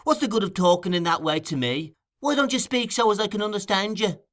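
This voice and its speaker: A man's voice: on the high side, moderate pace, fairly bright tone, voice pushed up, lightly acted. A man voicing a blunt, impatient young male newcomer to a gang of thieves. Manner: blunt, demanding.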